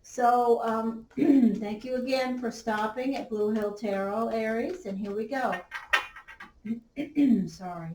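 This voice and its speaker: A woman doing a tarot reading, losing her voice a little, who talks slowly with many fillers and pauses. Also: on the high side, dark tone, distant mic, a little hiss or hum. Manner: slow, halting, many fillers. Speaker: tarot reader. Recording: roomy, off-mic, faint ambience.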